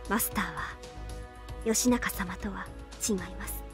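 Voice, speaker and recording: A woman playing a devoted young half-oni samurai archer in a mobile game, speaking formal, reverent Japanese in a soft voice. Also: mid-range voice, fairly even intonation, a little slow, natural voice. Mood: wistful, grave. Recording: studio voice over game music.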